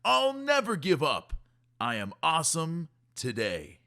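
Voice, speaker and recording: Young schoolboy for a picture-book read-aloud, played by an adult man. Earnest, emotional, animated pitch. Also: unhurried, vocal fry, heavily acted. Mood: determined. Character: young schoolboy battling self-doubt, striving for confidence.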